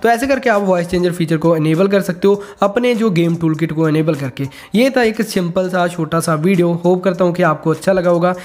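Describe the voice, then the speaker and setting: A man's voice: high voice, fairly quick, few pauses, darkish tone. A man hosting a casual step-by-step tech tutorial, mixing English words and stock phrases into his speech.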